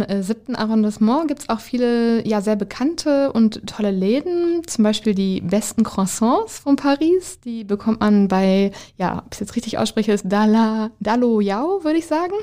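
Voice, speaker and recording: A woman's voice: a little high, warm tone. A woman travel blogger chatting casually and enthusiastically, with many fillers and little tag questions. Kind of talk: casual radio chat.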